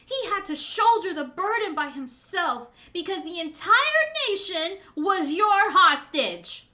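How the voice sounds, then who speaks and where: A woman's voice: mid-range voice, lively intonation, slow, sharp tone, pitched below the performer's own voice. A woman voicing a proud, grieving samurai's daughter, declaiming a comic's lines with impassioned, vengeful emphasis. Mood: bitter grief. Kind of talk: amateur dramatic reading of a comic's dialogue.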